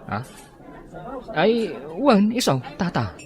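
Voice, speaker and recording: A man's voice: sits high, big pitch swings, slow pace, some chatter behind, exaggerated voice. A man acting a lovesick, insecure younger Filipino man with an Ilocano accent in a comedy radio drama. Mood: anxious, pleading.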